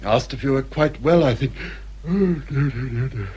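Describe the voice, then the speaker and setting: An actor playing an easygoing, hearty young country squire in a period drama, with an English accent and an offhand, genial manner. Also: male voice, medium pitch, normal speed, darkish tone, breathy edge. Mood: sleepy, offhand. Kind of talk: British period radio drama acting.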